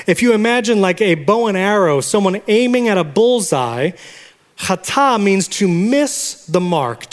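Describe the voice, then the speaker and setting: Pastor of Puerto Rican origin, high-voiced man, preaching and teaching, long hall reverb. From Puerto Rico. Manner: teaching, has the congregation repeat words. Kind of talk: sermon. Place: church sanctuary.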